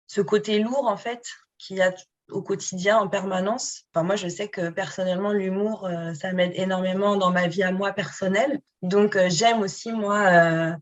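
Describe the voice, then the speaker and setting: A woman's voice: medium pitch, fairly even intonation, moderate pace, muffled recording. A woman who works at a foundation, speaking in an upbeat way with frequent hesitations in a podcast chat. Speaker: foundation staff member. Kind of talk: casual podcast conversation.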